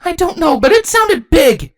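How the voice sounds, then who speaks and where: A woman's voice: high-pitched, sing-song, normal speed, pitched above the performer's own voice, full character voice. A woman voicing an earnest young changeling princess in a fantasy story, anxious and urgent. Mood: alarmed shouting.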